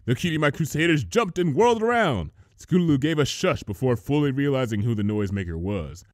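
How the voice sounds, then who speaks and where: A man's voice: mid-range voice, big pitch swings, fairly quick, dry recording, clean studio sound. A man reading a story aloud as a scripted narrator.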